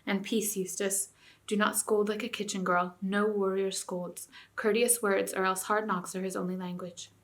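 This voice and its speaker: A woman voicing a stern, noble king giving calm, old-fashioned commands. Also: mid-range voice, normal speed, natural voice. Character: stern, noble, hopeless king, grown man.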